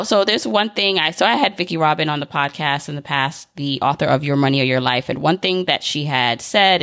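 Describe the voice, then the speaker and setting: A podcast host talks conversationally, setting up long and winding questions for a guest. The voice sits in a middle pitch. The recording is dry and close, with no room sound. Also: a bit bright, clean tone, no room sound. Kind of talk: casual interview chat.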